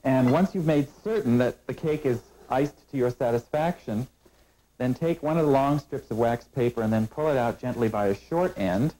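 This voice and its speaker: A middle-aged man hosts a cooking show from a studio kitchen set, speaking into a lapel mic. His voice is mellow and even-pitched, and he explains each step in a calm, instructive way. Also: normal speed, low-quality recording. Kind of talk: scripted cooking demonstration.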